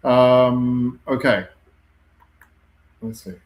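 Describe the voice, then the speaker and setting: A man's voice: medium pitch, steady tone, drawn-out words, muffled recording. British man answering questions, echoey room. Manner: conversational, frequent ums and self-corrections. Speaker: host answering viewer questions. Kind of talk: live Q&A stream.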